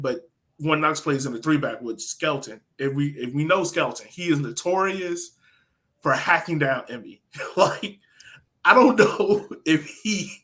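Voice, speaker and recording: Male American podcast co-host, bright voice a touch high, slangy and chatty, casual match talk. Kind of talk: casual soccer match breakdown.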